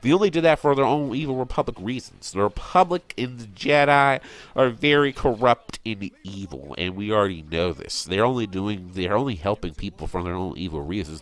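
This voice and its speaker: A man with a low-pitched voice speaks as an online commentator. He rants like an opinionated fan, pressing his points insistently and circling back to them with exasperation.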